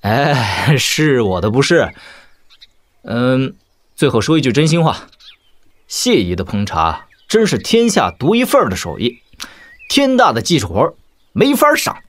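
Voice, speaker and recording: An actor playing a proud young warrior prince in an audio drama, measured, cutting and mock-polite. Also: male voice, medium pitch, wildly swooping pitch.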